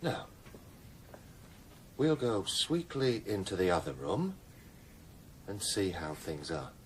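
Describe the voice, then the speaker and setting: A man playing a tough British police detective in a radio drama, measured, controlled and matter-of-fact. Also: deep voice, very animated, a little hiss or hum, heavily acted, rough recording. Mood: controlled, commanding. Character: steady, tough male police detective in command. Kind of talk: 1990s radio drama acting.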